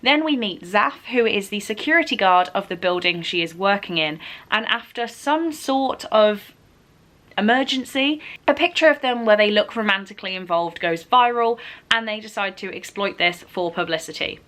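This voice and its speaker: A woman's voice: mid-range voice, normal speed, fairly bright tone. A woman book vlogger making an upbeat, casual announcement to her viewers in a chatty, enthusiastic way. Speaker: book vlogger and read-along co-host.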